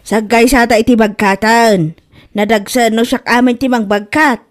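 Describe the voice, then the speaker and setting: A woman's voice: on the high side, fairly even intonation, normal speed, natural voice. A woman with an Ilocano Filipino accent acting a village woman in a comedy drama. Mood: complaining, scolding.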